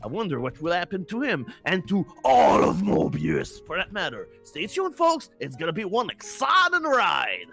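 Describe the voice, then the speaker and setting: A man's voice: high voice, huge pitch swings, normal speed, gravelly, hardly any pauses, sharp tone, soft background music, voice pushed up, heavily acted. A man voicing a cheerful, folksy uncle who presents a cartoon story recap like an announcer. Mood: cheerful, hyping.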